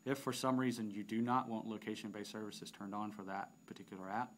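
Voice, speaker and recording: A man narrating an unscripted software demonstration, explaining step by step with halting phrasing and repeated words. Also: fairly low pitch, little pitch movement, a little hiss or hum. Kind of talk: unscripted app tutorial narration.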